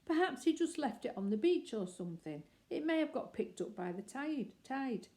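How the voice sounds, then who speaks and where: A woman's voice: high voice, very animated, moderate pace, warm tone, voice pushed up. A woman reading aloud voices a worried, firm middle-aged father who speaks in a reassuring way.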